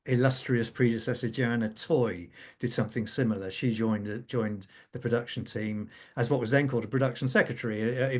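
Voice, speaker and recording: A middle-aged or older man, a scriptwriter, telling anecdotes informally on a panel, repeating and restarting his phrases. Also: medium pitch. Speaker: radio drama scriptwriter on a panel. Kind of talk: informal anecdotal conversation at a conference.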